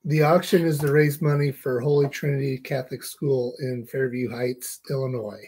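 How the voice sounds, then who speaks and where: A man's voice: medium pitch, steady tone, moderate pace, darkish tone, breathy edge, rough recording. A man in his forties co-hosting an online charity auction over a webcam, calling bids and tossing in dry jokes. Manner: joking, dry asides. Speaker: auction co-host. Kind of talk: casual livestream auction chat. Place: indoors, small room with tiki decor.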